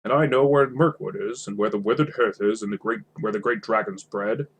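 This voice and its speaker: A man's voice: lowish voice, a little fast, breathy edge. A man voicing a gloomy, skeptical dwarf leader from a storybook in a dismissive way. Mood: disappointed. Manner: dismissive, unimpressed.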